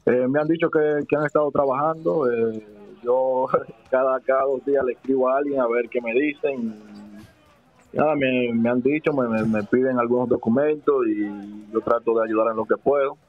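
A man's voice: medium pitch, fairly even intonation, normal speed. Hesitant Dominican man, thin phone-like sound. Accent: Dominican Spanish. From Dominican Republic. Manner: hesitant, many restarts and fillers. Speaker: basketball player guest. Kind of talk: casual interview answers. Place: small studio with desk. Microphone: headset and desk mic. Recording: dry, phone-like narrow sound.

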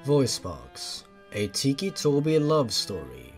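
A man's voice: mid-range voice, wildly swooping pitch, slow pace, soft background music. A man reading fan fiction aloud as the host of a series, in a dramatic, mock-serious storytelling manner.

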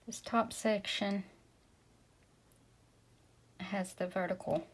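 A woman from Tennessee giving a relaxed step-by-step painting lesson, talking as she paints and sometimes dropping to a whisper. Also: mid-range voice, slow, breathy edge. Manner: relaxed, talks while painting, drops to a whisper at times. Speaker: painting instructor. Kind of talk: casual step-by-step tutorial. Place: indoors at a work table.

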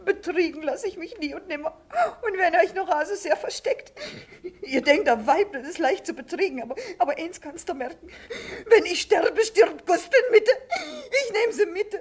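An actress playing a plaintive, accusing, dying wife, fearful and jealous, in a 1960s German radio drama. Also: female voice, very high voice, normal speed. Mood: hysterical, accusing.

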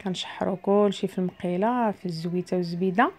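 Fast-talking woman with a Moroccan accent. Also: on the low side, darkish tone. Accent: Moroccan Arabic. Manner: chatty, explains each step as she cooks. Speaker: cooking vlogger. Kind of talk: casual cooking voice-over.

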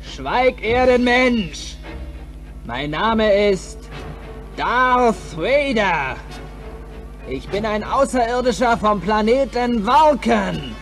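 An actor playing a commanding, menacing alien with a slow, booming proclamation in an audio drama. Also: male voice, very animated, a bit bright, faint music behind, full character voice. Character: menacing, commanding male alien invader in spacesuit.

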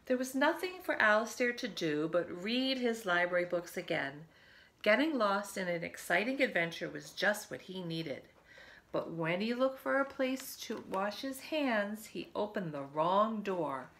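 A woman in her fifties reads a children's storybook in a mid-pitched voice with noticeable creak. Her delivery is expressive and aimed at young listeners, with conversational asides. She sounds like a teacher sharing a book from her living room. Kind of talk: storybook read-aloud with chatty asides to children. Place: living room at home, by a window.

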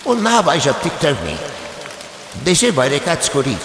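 A man's voice: fairly high pitch, sing-song, moderate pace, fairly bright tone, a faint crowd. A man playing a dejected, hesitant young male friend who is secretly a ghost in an audio horror story. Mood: homesick, glum. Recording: echoey reverb with rain noise.

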